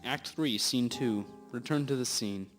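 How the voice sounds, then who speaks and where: A man's voice: mid-range voice, measured pace, close to an everyday voice. A man narrating a scripted detective radio drama as a solemn storyteller. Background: music.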